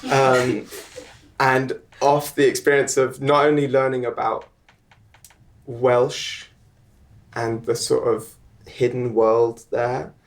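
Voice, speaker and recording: A young man, a filmmaker guest, chatting at a live panel in a halting way, with many ums and restarts. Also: mid-range voice. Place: live event on stage.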